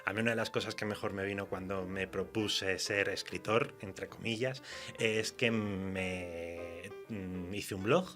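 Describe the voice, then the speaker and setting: A man from Spain with a fairly low voice speaks as a guest writer in a radio interview. He has a Spanish accent and a colloquial, chatty way of spinning anecdotes. There is some music with the voice. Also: fairly even intonation, unhurried, fairly bright tone. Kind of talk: informal radio interview answers.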